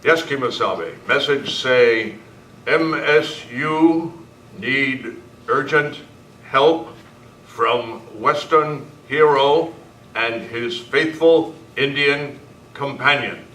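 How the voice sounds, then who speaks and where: Guy doing earnest western sidekick, slow broken english, sharp voice, echoey club room. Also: medium pitch. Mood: solemn. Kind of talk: amateur old-time radio western acting. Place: club meeting room, far camera. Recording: roomy, distant camera sound with hiss.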